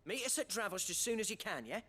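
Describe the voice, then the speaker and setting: An actor playing a friendly, plain-spoken rebel comrade, chummy and coaxing, in a video game. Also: male voice, high voice, sing-song, quick pace, bright, forceful tone, husky, exaggerated voice. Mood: cheerful.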